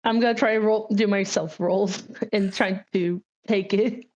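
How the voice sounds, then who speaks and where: A woman's voice: mid-range voice, fairly even intonation, a little slow, darkish tone. A woman chatting casually as a player in a tabletop game, sometimes speaking as her character, on a slightly lo-fi mic.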